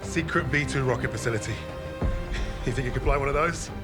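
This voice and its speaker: An actor playing a resolute, brisk Allied squad leader in a video game cutscene, giving orders with authority. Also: male voice, medium pitch, over loud music, close to an everyday voice. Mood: brisk, intent.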